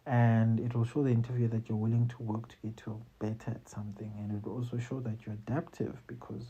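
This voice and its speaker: A man's voice: mid-range voice, little pitch movement, quick pace, mellow, husky, lo-fi sound. A South African man hosting job interview advice in a loose, conversational way, often adding "you see" and "man". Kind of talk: casual advice talk to camera.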